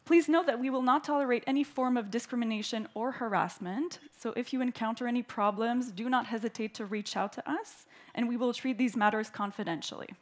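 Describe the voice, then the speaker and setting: A woman from Canada giving the formal welcome speech at a conference, clear and orderly as she reads prepared remarks into a stage microphone. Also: fairly high pitch, brisk, hardly any pauses. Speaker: event host, opening speaker. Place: conference hall.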